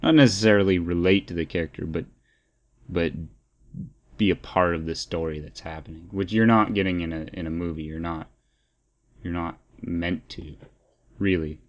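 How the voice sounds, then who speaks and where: A man's voice: sits low, normal speed, pauses often, dull audio. A man co-hosting a podcast in casual, opinionated discussion, rambling and repeating phrases as he thinks aloud. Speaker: podcast co-host.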